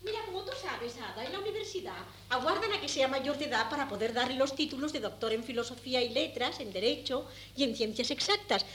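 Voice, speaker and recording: A middle-aged Castilian Spanish mother in old radio theatre. Her voice is fairly high, chatty and full of naive pride in her family. The tape is old and slightly noisy. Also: lively intonation, fast talker, hardly any pauses. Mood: proud. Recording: noisy 1979 radio cassette recording.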